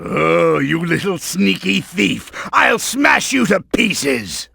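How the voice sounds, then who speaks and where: A man's voice: sits high, sing-song, slow pace, vocal fry, bright, forceful tone, husky, no room sound, clean studio sound, heavily acted. A man voicing a bombastic, scheming middle-aged mad-scientist villain in a video game, theatrical and blustering, with a hot temper. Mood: angry, threatening.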